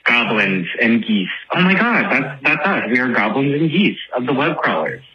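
A man's voice: mid-range voice, sing-song, moderate pace. A man leaving a casual voice message for a show, telling a story in a chatty, dramatic, self-deprecating, motormouth way on a phone-like recording. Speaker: listener calling in with a voice message.

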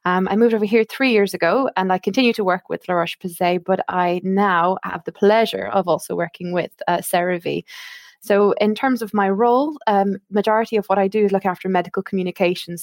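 A woman's voice: lowish voice, no echo. A woman in her thirties with an Irish accent, explaining her work as a guest in an interview, chatty and full of fillers. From Ireland. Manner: chatty, with frequent fillers and restarts. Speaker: guest, medical marketing manager. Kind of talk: conversational interview.

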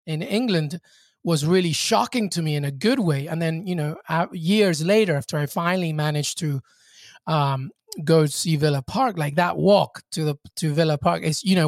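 A man's voice: on the high side, no echo, clean studio sound. A man from Peru, a guest on a podcast, talking reflectively in casual chat with many fillers and restarts.

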